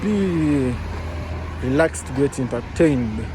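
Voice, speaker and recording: A man's voice: medium pitch, expressive, takes it slowly. A young Kenyan man with a Kenyan accent, chatting casually and rambling a bit as he vlogs outdoors in the city. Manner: chatty, rambling, self-correcting. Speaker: vlogger. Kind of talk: casual walking vlog. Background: traffic noise.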